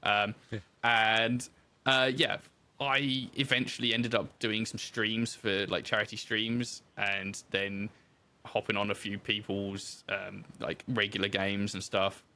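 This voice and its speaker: A man's voice: lowish voice, flat delivery, slow, bright, forceful tone. A man telling his story in casual interview chat, informal and self-deprecating, as a podcast guest who designs games.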